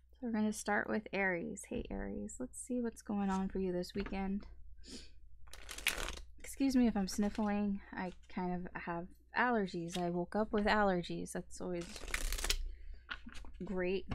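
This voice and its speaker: A woman's voice: medium pitch, a little hiss or hum. A woman giving a casual weekend tarot reading, rambling along with lots of fillers. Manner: rambling, filler-heavy talk. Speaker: tarot reader.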